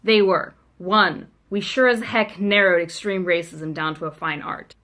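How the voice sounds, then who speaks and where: A woman's voice: fairly low pitch, fairly even intonation, measured pace, a bit bright. Wry, chatty young woman. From South Africa. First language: Afrikaans. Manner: chatty, wry and self-mocking, with frequent ums. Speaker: vlogger. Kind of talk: casual video letter to a friend.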